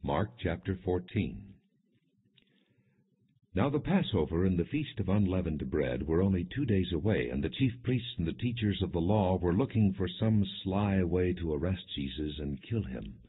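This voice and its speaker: A man narrating an audiobook of scripture in a solemn, measured, earnest way, with a husky voice. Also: deep voice, sing-song. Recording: muffled, phone-like narrow sound.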